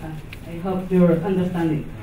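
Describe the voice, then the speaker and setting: A woman from Venezuela, a non-native English speaker with a Venezuelan accent, giving an unscripted conference talk in a halting way, with many restarts and fillers. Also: fairly low pitch, little pitch movement, dark tone, a little hiss or hum. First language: not English. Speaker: conference presenter. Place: conference hall. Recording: echoey, distant, phone-like hall recording.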